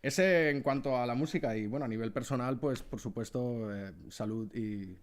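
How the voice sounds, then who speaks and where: A man's voice: mid-range voice, a little fast. Mid-voiced guy, easygoing musician talking at an interview. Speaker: saxophonist guest. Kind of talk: casual interview.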